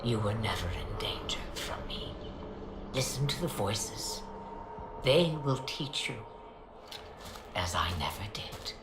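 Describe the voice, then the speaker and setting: A woman's voice: extremely low pitch, lively intonation, takes it slowly, long pauses, in a whisper, heavily acted. A woman voicing an ancient, enigmatic witch-goddess in a video game, an older mother speaking in a cryptic, portentous way. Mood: solemn, gentle. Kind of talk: dramatic video game dialogue. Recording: distant, echoey, noisy game scene capture.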